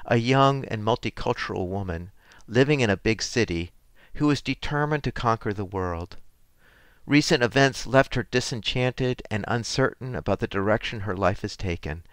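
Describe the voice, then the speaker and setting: Low-voiced radio host, dry studio. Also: male voice, fairly bright tone, clean tone, no echo. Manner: measured, scripted introduction. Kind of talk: community radio interview.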